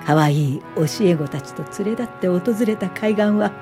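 An actress playing a reflective, gentle eighty-year-old woman educator, narrating and talking in a radio drama in a measured, contemplative way. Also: lowish voice, music in the background, natural voice.

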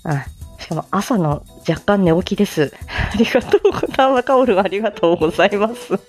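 A woman hosting a casual morning stream, chatty and quick to giggle as she talks to her listeners. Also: medium pitch, animated, husky, faint music behind. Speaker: online audio show host and voice performer. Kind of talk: casual morning livestream chat.

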